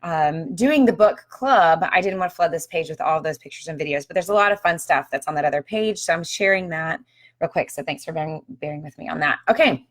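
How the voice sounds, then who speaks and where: A woman with a slightly low, slightly hoarse voice talks casually to her viewers on a live stream. She sounds friendly and chatty, often pausing on "um" as she goes. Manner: chatty and upbeat, with frequent ums. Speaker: author and marriage counselor hosting a live video. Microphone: phone or webcam on a live stream.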